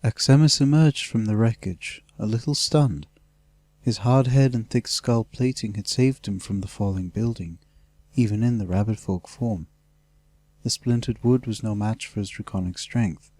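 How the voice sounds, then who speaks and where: A man's voice: fairly low pitch, normal speed, mellow, no echo. A man reading scripted fantasy narration as an audiobook narrator.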